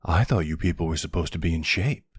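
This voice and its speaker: A man playing a flirty, cocky vampire with a gravelly, husky voice, teasing the listener in an intimate ASMR roleplay. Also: low-pitched, fast, no echo, clean studio sound. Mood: mocking, flirtatious. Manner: teasing, sardonic drawl.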